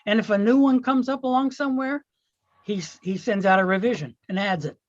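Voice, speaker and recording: A man's voice: sits high, a little fast, dry recording. A man talks casually as a participant in a club meeting, offering comments and questions. The audio is slightly dull, like a modest call connection, and quite dry. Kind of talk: casual discussion.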